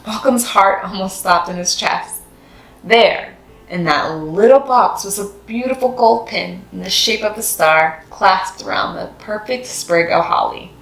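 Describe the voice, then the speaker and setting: A woman narrating a storybook for children in an expressive, dramatic way. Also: medium pitch, a little slow, creaky. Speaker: host of a children's read-aloud series. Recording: roomy echo with music behind. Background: music.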